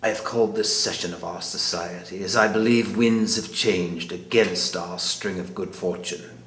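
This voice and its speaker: An actor playing the composed, menacing leader of a secret murderous society, speaking in formal, measured oratory in a film drama. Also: male voice, sits low, fairly even intonation, a bit bright, roomy sound. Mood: grave, controlled.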